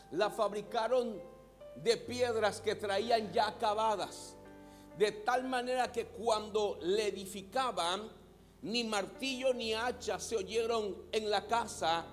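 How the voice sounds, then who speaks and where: Mexican pastor, very high voice, slow and deliberate sermon, soft music underneath. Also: male voice. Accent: Mexican Spanish. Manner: preaching, has the congregation repeat words.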